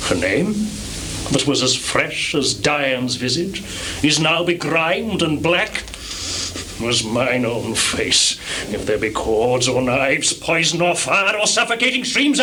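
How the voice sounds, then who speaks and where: Over a faintly noisy live theatre recording comes a tormented, jealous general in Shakespearean tragedy. The voice is fairly high and forceful, drawing out each phrase in grand, impassioned oratory. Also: male voice, heavily acted. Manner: declamatory, impassioned, drawn-out oratory. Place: small theatre stage.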